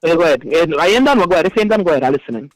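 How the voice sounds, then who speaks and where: A man with a Trinidadian accent and a loud, big voice, talking emphatically in a casual radio chat as co-host. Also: fairly high pitch, lively intonation, rapid-fire, a little hiss or hum, rough recording. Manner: emphatic, insistent.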